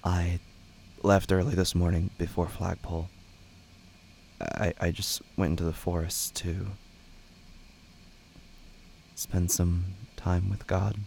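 A shaken young man in an audio drama, really slow and flat, hesitant, subdued. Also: low-pitched, gravelly, slightly rough, heavily acted. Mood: evasive, nervous. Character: withdrawn, shaken young man at church camp.

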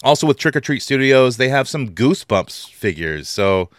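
A man's voice: mid-range voice, normal speed, no echo, clean studio sound. A man co-hosting a casual podcast about toys, telling rambling stories and swearing freely. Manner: swears a lot, excited, rambling storyteller. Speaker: podcast co-host.

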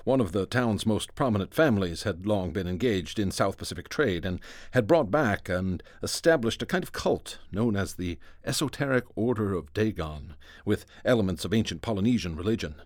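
A man acting a reserved professor in a radio play, speaking in a lowish voice, close and dry. He is scholarly and measured, choosing words with discreet hesitation. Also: lively intonation, no room sound, natural voice. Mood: guarded, grave. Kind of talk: radio drama interview.

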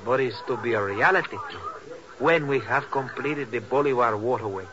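A man's voice: mid-range voice, a crowd in the background, natural voice. Man doing earnest venezuelan guy, latin american spanish accent, old radio drama, dull sound, murmur behind. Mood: hopeful, earnest. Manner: earnest, deliberate, hesitating with ehs. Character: earnest, idealistic Venezuelan man with big hopes. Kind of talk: 1950s radio drama acting. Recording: muffled old radio broadcast, background murmur.

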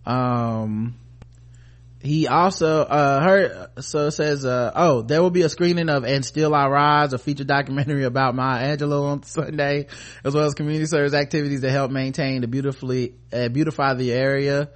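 A man's voice: mid-range voice, fairly even intonation, moderate pace. An American man hosting a podcast, giving casual, comedic news commentary in an irreverent, sarcastic, sweary way. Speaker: podcast host.

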